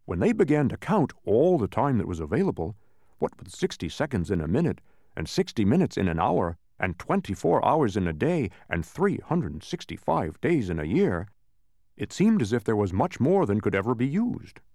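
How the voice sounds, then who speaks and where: A man's voice: lowish voice, sing-song, moderate pace, dark tone, no echo, clean studio sound. Man voicing an earnest, proud, oratorical watchdog. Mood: explaining, earnest. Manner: declamatory, dramatic. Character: earnest proud male watchdog, sentimental, given to lofty speeches. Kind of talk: children's storybook character voice.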